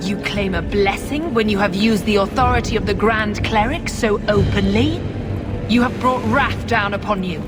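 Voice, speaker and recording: An actress playing a stern, indignant cleric in a video game, formal and accusing. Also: female voice, medium pitch, moderate pace, lightly acted. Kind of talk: dramatic video game acting. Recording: loud music behind voice.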